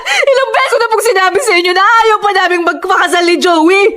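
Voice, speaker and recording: A woman's voice: very high voice, fairly quick, crowd noise. A woman with a Filipino accent playing a grieving, resentful young wife in a radio drama, tearful and accusing. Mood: hurt, tearful. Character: grieving, resentful young wife who lost her baby.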